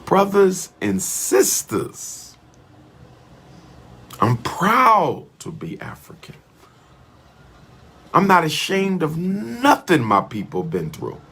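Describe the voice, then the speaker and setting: A husky-voiced man delivering a fiery speech. His pitch swings widely as he drives each point home. He leans on repetition in a rolling, chant-like cadence. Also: huge pitch swings, slow, long pauses, fairly bright tone. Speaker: speaker addressing an audience. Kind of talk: impassioned oratory.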